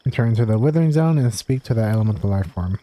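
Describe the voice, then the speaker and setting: Female streamer, deep register, fast casual chat over faint game music. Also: lively intonation, warm tone. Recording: game music playing behind.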